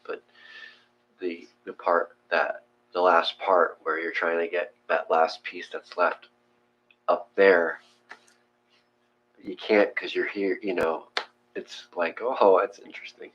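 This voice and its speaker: A man in a casual, reflective discussion, thinking aloud in a halting way and trailing off. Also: low voice, flat delivery, a bit bright. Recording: muffled, with faint hum.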